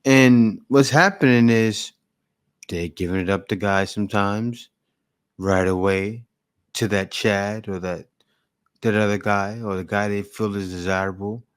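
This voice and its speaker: A man's voice: fairly low pitch, steady tone, unhurried, clear, resonant tone. Blunt man lecturing in reaction commentary. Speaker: reaction video host.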